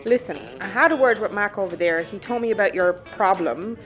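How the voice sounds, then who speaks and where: Persistent female captain actress: medium-pitched, direct, dryly wry audio drama delivery with music playing. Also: a little fast, fairly bright tone, close to an everyday voice. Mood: pressing. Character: blunt, persistent female spaceship captain hiring muscle.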